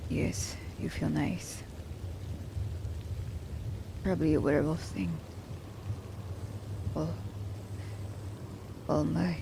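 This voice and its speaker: A woman playing a reserved assassin who is grudgingly tender, speaking hesitantly and softly in an ASMR romance roleplay. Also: sits low, vocal fry, background noise, heavily acted. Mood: flustered, tender. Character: reserved female assassin, grudgingly tender toward captive werewolf.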